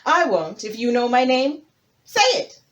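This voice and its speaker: A woman voicing a proud male hippopotamus king in a folktale, speaking in loud, pompous proclamations. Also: on the high side, big pitch swings, a little slow, highs cut off, voice pushed up. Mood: challenging. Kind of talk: theatrical folktale storytelling.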